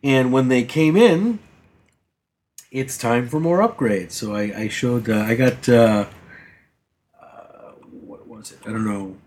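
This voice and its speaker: A man's voice: medium pitch, slow pace, darkish tone, a little hiss or hum. A man co-hosting a casual gaming podcast, rambling through his week with many fillers and restarts and teasing the others. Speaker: podcast co-host.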